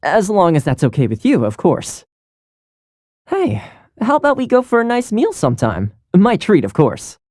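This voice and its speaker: A kind young male game character, a polite and caring housekeeper. His delivery is easygoing and earnest, with a soft, mellow tone and lively, swinging intonation. The sound is dry and close. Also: sits high, normal speed, no room sound, full character voice. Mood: friendly, cheerful. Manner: warm, easygoing, chatty, earnest. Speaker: video game voice actor. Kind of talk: scripted game character voice lines.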